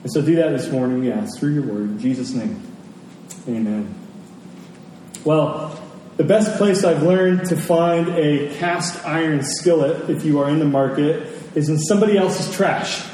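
A man preaching in a conversational sermon style, earnest and rhetorical, pausing deliberately for weight. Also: on the high side, warm tone. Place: large reverberant room, church service. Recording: very echoey large hall, distant, noisy.

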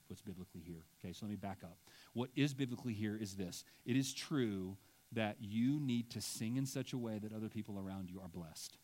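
A man's voice: mid-range voice, a little mellow. A middle-aged man preaching a sermon in a church, conversational and self-deprecating, often checking in with "right?" and stumbling through restarts when he digresses. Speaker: pastor. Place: church sanctuary.

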